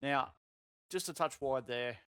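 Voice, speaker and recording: A man, voice going croaky, coaching a sim racing lap in casual talk with lots of fillers. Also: medium pitch, unhurried, no room sound, clean studio sound. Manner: relaxed, filler-heavy explaining with many "um"s. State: voice getting croaky.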